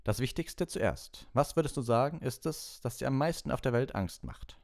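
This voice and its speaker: A man's voice: medium pitch, lively intonation, normal speed, creaky, darkish tone, voice pushed up. A man voicing a cheerful, encouraging wizard teacher in an audiobook, instructing patiently and kindly. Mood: gentle, probing. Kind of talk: animated audiobook character voice.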